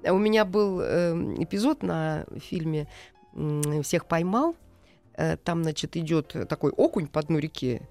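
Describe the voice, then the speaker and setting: Low-voiced woman explaining, in a radio interview. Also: a little slow, a little mellow, soft background music. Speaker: interview guest, animation director. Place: radio studio.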